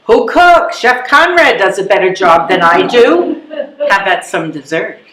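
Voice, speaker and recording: A woman's voice: medium pitch, sing-song, measured pace, crowd noise. An older woman playing a forthright, wry senior lady in a readers theatre comedy, with pointed delivery. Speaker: amateur readers theatre performer. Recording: roomy, echoing live stage recording.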